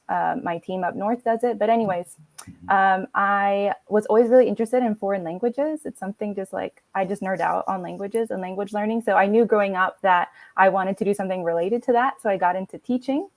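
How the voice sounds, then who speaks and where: A woman's voice: medium pitch, steady tone, fairly quick, no echo. A woman in her thirties, a nonprofit program director, telling her story in a friendly, upbeat way with frequent ums. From Philippines, raised in Florida. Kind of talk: casual video-call interview.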